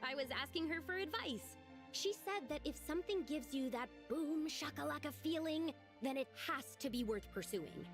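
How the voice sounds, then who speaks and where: A woman's voice: high voice, lively intonation, normal speed, music in the background. An actress playing an earnest, dramatic young lady with big ambitions in a video game, speaking expressively. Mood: proud, eager.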